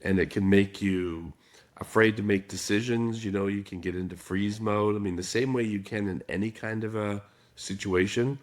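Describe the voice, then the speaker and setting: A man with a raspy voice, a guest on a podcast, explaining his ideas calmly. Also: on the low side, normal speed. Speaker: guest, trauma specialist. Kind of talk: casual podcast interview.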